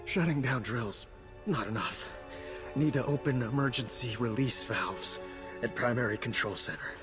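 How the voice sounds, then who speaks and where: A man's voice: medium pitch, moderate pace, natural voice. A man playing a stoic, badly wounded infantry private in a video game, speaking weakly in clipped fragments. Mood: pained, resigned. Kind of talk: video game cutscene acting. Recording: music playing behind.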